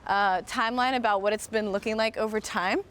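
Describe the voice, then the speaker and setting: A woman's voice: medium pitch, steady tone, fairly quick, fairly bright tone, slight background noise. An American woman hosting a live-streamed walking tour outdoors, talking with viewers in a chatty, upbeat way and thinking aloud with lots of fillers. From United States. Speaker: host. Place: outdoors, walking around a historic site.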